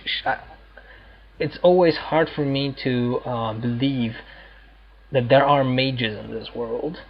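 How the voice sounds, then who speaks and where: Male gaming host, casual playthrough commentary, halting delivery, slightly dull recording, faint background noise. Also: mid-range voice.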